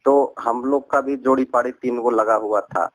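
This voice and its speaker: A man's voice: medium pitch, fairly even intonation, normal speed. A man with a Bihari accent on a recorded phone call, talking earnestly and at length about personal matters, circling back and repeating his points. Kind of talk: rambling personal account in conversation.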